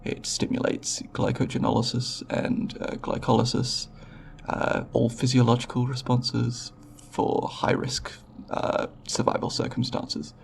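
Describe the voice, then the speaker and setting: A man playing a timid, scholarly alien researcher in an ASMR roleplay, speaking haltingly and politely in formal scientific language with a creaky voice. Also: medium pitch, completely flat delivery, slight background noise. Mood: nervous, explanatory. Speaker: roleplay voice performer.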